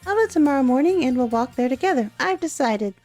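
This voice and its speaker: An energetic young girl from a visual novel, acted out by a woman, pitched high and chirpy. She is bubbly and a bit bossy, eager and decisive in how she talks. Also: quick pace, soft background music. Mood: bright, bossy.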